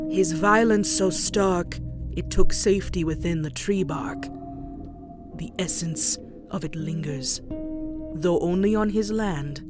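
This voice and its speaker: A woman voicing a grave, mysterious zebra sage who tells horror tales in measured rhyme for a comic dub. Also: on the low side. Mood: ominous warning. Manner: measured, theatrical, speaks in rhyme. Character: mysterious rhyming zebra storyteller, grave and wise. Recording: music playing behind.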